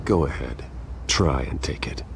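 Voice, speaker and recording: A man's voice: extremely low pitch, animated, a little slow, vocal fry, a little hiss or hum, full character voice. An actor playing a brooding, guarded man in a video game, speaking in a terse, dry, understated way. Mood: defiant.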